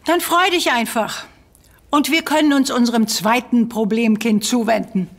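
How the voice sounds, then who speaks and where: Sarcastic heroine, high voice. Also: female voice, normal speed. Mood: sharp. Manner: sharp and sarcastic. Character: sharp-tongued woman, Laura.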